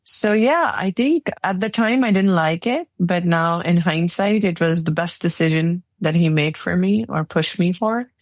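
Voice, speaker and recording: Woman, 40s, from Pakistan, lowish voice, dry close sound, relaxed storytelling. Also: measured pace, hardly any pauses, dry recording. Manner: relaxed storytelling with light laughs. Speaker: guest, engineering executive. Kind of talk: casual interview answers.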